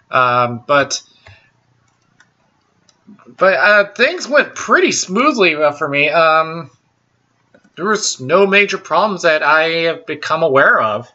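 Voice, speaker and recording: A man with a baritone voice, pitched a little high for his range and very bright and forceful. He talks slowly and haltingly, full of ums and restarts, as he hosts a casual solo show. The recording sounds dull and lo-fi. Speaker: podcast host.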